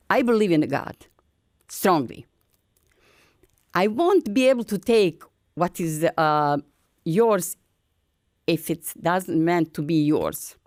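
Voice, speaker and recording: Slow, earnest older Bosnian woman. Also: mid-range voice, a little mellow, no room sound, studio quality. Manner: halting, broken English with restarts; earnest, encouraging. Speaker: featured artist. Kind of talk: personal storytelling.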